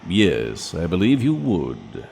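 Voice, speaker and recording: A man playing Satan in an audio drama, a suave, courteous and sinister ruler of hell who speaks formally and with measured politeness. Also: sits low, wildly swooping pitch, vocal fry, darkish tone, slightly rough, full character voice. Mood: smooth, calculating. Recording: long cavernous reverb.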